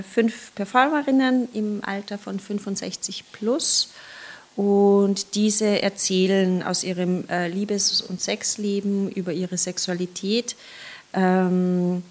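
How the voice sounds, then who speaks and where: A woman's voice: mid-range voice, flat delivery, slow pace. A woman theatre director answering interview questions in a hesitant way, with many filler sounds. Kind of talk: podcast interview guest.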